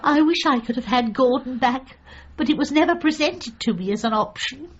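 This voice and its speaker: An actress playing a grieving, guilt-ridden widow in a radio comedy drama, hesitant, plaintive and tearful. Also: female voice, high voice, animated, normal speed, dull audio. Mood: wistful.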